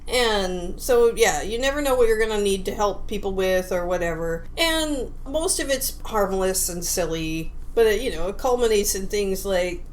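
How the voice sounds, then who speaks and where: A woman co-hosting a casual discussion and explaining a game in an amused, halting way. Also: mid-range voice, animated, a little hiss or hum, poor audio. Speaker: podcast co-host.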